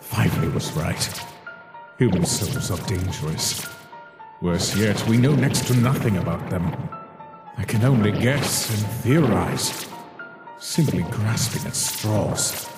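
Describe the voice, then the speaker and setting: A man playing a cold, weary, guilt-ridden scientist monster in a dramatic comic dub, speaking in a deliberate, theatrical way. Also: on the low side, expressive. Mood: brooding, bitter. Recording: echoey reverberant voice with background music.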